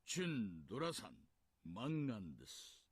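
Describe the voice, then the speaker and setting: A man's voice: on the low side, huge pitch swings, quick pace, husky, dry recording, heavily acted. A man playing a sly, smugly affable middle-aged detective in Japanese anime game style. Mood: smug, amused.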